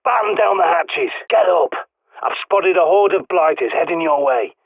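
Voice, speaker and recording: An actor playing a gruff, bossy British survivalist who guides a player by radio, speaking bluntly and swearing freely. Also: male voice, on the high side, animated, normal speed, dry recording. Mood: alarmed. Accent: British English. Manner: barking orders over a radio. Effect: radio filter. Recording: tinny dry radio-line sound.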